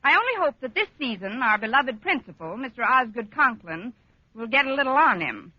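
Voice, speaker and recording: A woman playing a wry, quick-witted schoolteacher in a 1940s radio comedy, dry and wisecracking. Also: a little high, very animated, no echo. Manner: dry, wisecracking, crisply articulated. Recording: dull, noisy 1940s radio recording.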